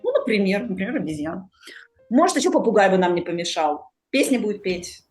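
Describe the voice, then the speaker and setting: A woman's voice: medium pitch, sing-song, fast talker, a little mellow, soft background music. A woman speaking conversationally as a podcast host.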